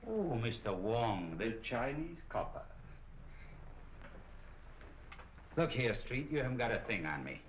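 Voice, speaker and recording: An actor playing a cocky, evasive middle-aged nightclub operator in a 1940s crime film, talking in slangy retorts. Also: male voice, mid-range voice, very animated, normal speed, lightly acted. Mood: defiant. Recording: muffled, noisy old film soundtrack.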